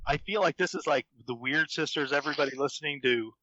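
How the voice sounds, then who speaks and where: A man's voice: on the high side, quick pace, bright, forceful tone, no echo. A man chatting casually as a podcast guest, teasing in a mock-serious way, on slightly muffled audio. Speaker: podcast guest, fan fiction author. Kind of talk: casual group chat.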